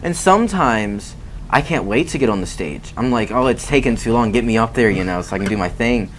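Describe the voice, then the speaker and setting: A man's voice: medium pitch, animated, fairly quick, slight background noise. A man, a musician guest, chatting casually in an interview, rambling with many fillers.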